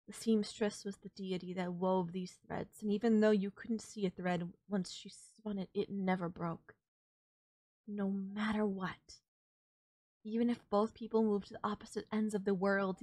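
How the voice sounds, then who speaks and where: Woman doing an alien girlfriend for a romance audio rp, gentle n heartfelt, super dry close mic. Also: mid-range voice, no echo, lightly acted. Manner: soft-hearted, reassuring, gently teasing, addressing a lover. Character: tender alien girlfriend saying a sad goodbye. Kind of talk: intimate romantic audio roleplay, ASMR-like.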